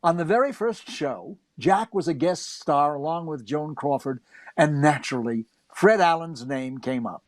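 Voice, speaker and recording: A male narrator delivers scripted reminiscence. His voice is somewhat high, and the sound is very dry with no room around it. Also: lively intonation, normal speed, no room sound. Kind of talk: scripted first-person narration.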